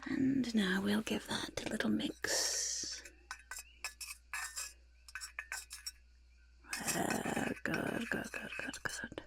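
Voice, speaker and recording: A woman voicing a fussing, delighted goblin potion-maker in a soft-spoken ASMR roleplay, muttering and repeating words in a creaky, breathy half-whisper. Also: mid-range voice, takes it slowly. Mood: eager, fussing.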